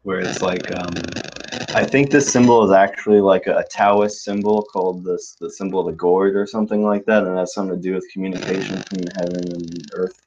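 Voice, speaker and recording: A man's voice: low voice, steady tone, a little fast, darkish tone, a little hiss or hum. A young man chatting casually as a player, rambling and unsure, full of "like". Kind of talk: casual chat. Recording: dull lo-fi sound.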